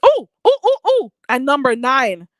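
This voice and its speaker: A woman's voice: high-pitched, very animated, a little slow, a bit bright, dry recording, studio quality. A woman hosting a casual livestream, reading out chat comments and chatting in a rambling way with lots of fillers and frequent laughter.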